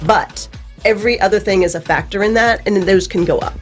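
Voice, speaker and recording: A woman's voice: medium pitch, fairly quick. A plain-spoken, folksy woman explaining things directly as a guest in a radio interview. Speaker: real estate guest. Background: music.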